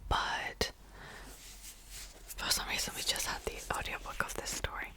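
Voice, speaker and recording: Slow whispering woman. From Czech Republic. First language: Czech. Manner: soft unvoiced whisper, rambling with small laughs. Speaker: ASMR creator. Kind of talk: whispered ASMR reading wrap-up.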